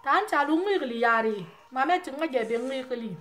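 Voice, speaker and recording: A woman from Guinea with a Guinean French accent, Susu her first language, talking to her fans in an impassioned vlog, her voice often rising into loud, forceful outbursts. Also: sits high, expressive, normal speed, soft background music. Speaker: vlogger addressing her fans. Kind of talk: impassioned monologue to camera.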